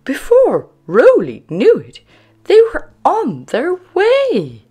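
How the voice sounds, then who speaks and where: A woman reading a children's storybook aloud as narrator, expressive, moving between hushed phrases and emphatic words. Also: sits high, slow pace. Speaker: storybook narrator and channel host.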